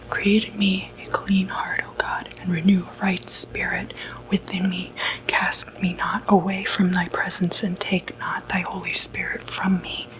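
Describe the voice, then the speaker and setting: A woman playing a gentle, reassuring doctor who treats demonic possession, in a hushed, soothing ASMR medical roleplay. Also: fairly low pitch, rough recording. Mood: solemn, prayerful. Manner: hushed, unhurried, hesitant, soothing.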